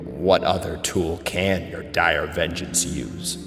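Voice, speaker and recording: An actor playing a grave, wary royal minister in a classical tragedy, speaking in a measured, formal way. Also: male voice, low voice, gravelly, full character voice. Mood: alarmed, probing. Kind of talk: classical tragedy dialogue, audio drama. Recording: echoey hall reverb, music underneath. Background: dramatic music.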